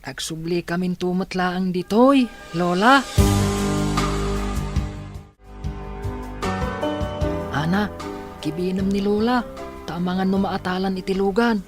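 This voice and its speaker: A woman's voice: on the low side, normal speed, music in the background, close to an everyday voice. A woman playing a kind, protective older-brother boy in an audio drama, plain-spoken and questioning.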